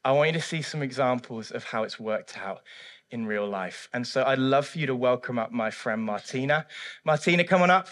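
A man preaching a sermon and interviewing a church member on stage, emphatic and swinging between hushed and loud. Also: mid-range voice, animated, fairly quick. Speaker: pastor. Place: church service.

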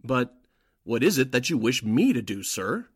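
An actor playing an earnest, easily flustered underling of Nazi agents, speaking deferentially in 1940s radio drama style. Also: male voice, mid-range voice, big pitch swings, normal speed, husky. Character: eager, deferential male informant for Nazi agents.

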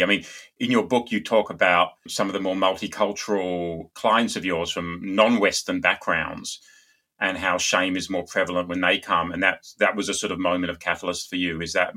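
A man's voice: sits low, sharp tone. A man hosting a conversational interview, putting questions to a guest. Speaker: interviewer, host.

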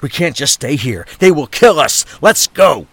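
A man's voice: a little high, very animated, measured pace, a bit bright, husky, no room sound, heavily acted. A man playing a panicky, foul-mouthed man under fire in a crime audio drama, speaking urgently. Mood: alarmed, urgent. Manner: urgent, swearing.

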